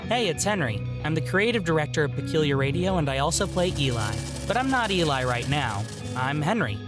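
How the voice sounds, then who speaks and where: Man, slightly high pitch, earnest plain promo read, clear guitar music underneath. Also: very animated, brisk. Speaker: creative director and voice actor. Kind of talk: scripted sponsor appeal.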